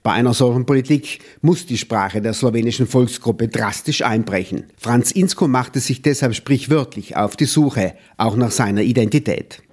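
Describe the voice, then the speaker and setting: A male narrator reads scripted documentary text. His delivery is measured and solemn, with a middle-pitched voice. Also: a little mellow, breathy edge.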